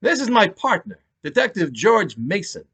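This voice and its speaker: A man acts out a New York police detective in an audiobook-style reading. He is brisk and courteous, with raised, swinging pitch and a slightly rough edge. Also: big pitch swings, a bit bright, exaggerated voice. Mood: brisk, polite.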